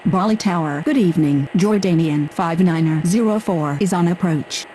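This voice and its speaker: A woman's voice: lowish voice, normal speed, dark tone, slight background noise, rough recording. A woman making procedural aviation radio calls in set phraseology. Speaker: pilot and air traffic controller radio voice.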